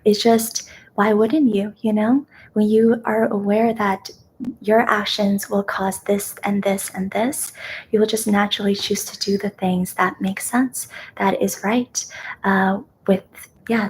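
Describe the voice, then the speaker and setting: A young woman born in China, whose first language is Kazakh, hosting a live ASMR question-and-answer chat in a gentle, soft-spoken way that slips into whispers. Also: medium pitch, flat delivery, a little slow, slight background noise, low-quality recording. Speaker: ASMR host.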